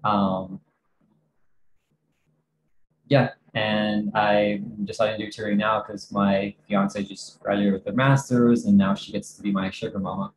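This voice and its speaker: A young man from Texas on a video call, relaxed and joking as he introduces himself. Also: lowish voice, unhurried, a little hiss or hum. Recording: roomy video call audio.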